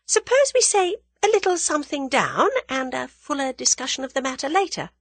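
A woman narrator plays a quick-witted young adventuress in an audiobook. She speaks high and sweetly, with polite, teasing composure. Her pitch leaps about in a lively way, and the recording is dry. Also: no echo. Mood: sweetly sly.

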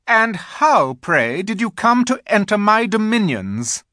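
An imperious witch queen from a storybook, acted out by a man, haughty and cold. The pitch is raised and swoops widely, stretching words with menace and sly sweetness. The sound is dry and close. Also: big pitch swings, pushed and bright, no room sound, full character voice. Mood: haughty, angry. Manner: haughty, commanding, drawn-out emphatic words. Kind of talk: audiobook character voice.

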